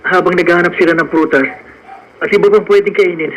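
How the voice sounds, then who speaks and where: High-voiced earnest young farmhand. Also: male voice, quick pace, fairly bright tone, a little hiss or hum, rough recording. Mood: eager, surprised. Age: young adult. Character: lovestruck young male farmhand, earnest and determined. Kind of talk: radio drama acting.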